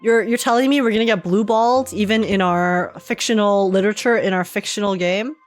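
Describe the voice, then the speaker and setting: A woman's voice: mid-range voice, brisk. A woman streamer giving playful, teasing and exclamatory commentary while reacting to a game. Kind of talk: playful gaming commentary with dramatic read-alouds. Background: music behind the voice.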